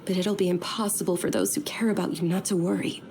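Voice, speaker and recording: A woman playing a caring, earnest young noblewoman in a video game, soft-spoken and polite. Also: lowish voice, steady tone, fast, a little hiss or hum, natural voice. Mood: concerned. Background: background music.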